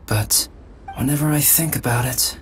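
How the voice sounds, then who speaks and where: An actor voicing a soft-spoken, philosophical young male alchemist in a video game, in a measured and articulate manner. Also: mid-range voice, a little hiss or hum, natural voice. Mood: pensive, melancholy. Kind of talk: video game dramatic dialogue. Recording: music under the voice.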